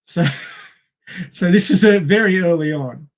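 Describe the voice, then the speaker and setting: A man's voice: high voice, unhurried. A man in a casual panel discussion, explaining technical things step by step. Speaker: podcast panelist.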